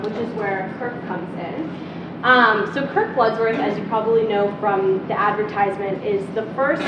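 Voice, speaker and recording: Young female TA, earnest introduction full of ums, muffled hall audio with noise behind. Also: mid-range voice. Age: young adult. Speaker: teaching assistant introducing the guest. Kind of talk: speech introduction. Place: university lecture hall. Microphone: far room mic. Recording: distant, muffled, echoey hall recording.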